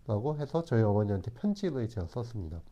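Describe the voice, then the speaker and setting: A man in his forties speaks in a low, very dark tone. He talks calmly and reflectively, pausing often and restarting his sentences as he thinks. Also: lo-fi sound. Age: 40s. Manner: calm, hesitant, with many restarts. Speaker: channel host. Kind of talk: reflective talk to camera.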